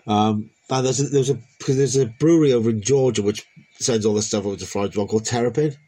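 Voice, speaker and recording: A man's voice: lowish voice, fast, warm tone. A man from Liverpool with a South Welsh accent, hosting a casual chat with enthusiasm and questions.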